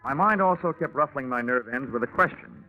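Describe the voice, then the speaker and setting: An actor playing a wry, hard-boiled American investigator in a 1940s radio detective drama, deadpan and wisecracking. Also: male voice, medium pitch, fairly quick, lightly acted. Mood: hard-boiled, narrating. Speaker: detective narrator. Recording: muffled 1940s radio recording with music.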